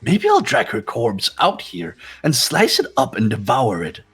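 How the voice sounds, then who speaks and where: A man's voice: medium pitch, huge pitch swings, a little slow, fairly bright tone, husky, faint music behind, exaggerated voice. A man voicing a gleefully menacing, mocking robot bear headmaster in a fan-dubbed game, theatrical and teasing in sing-song swoops. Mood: smug, teasing. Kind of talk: fan voice acting of a visual novel game.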